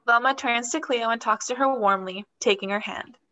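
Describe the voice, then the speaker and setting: A young woman narrates stage directions for a play reading. Her voice is bright and fairly high, delivering scripted lines over a video call. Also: normal speed, dull audio.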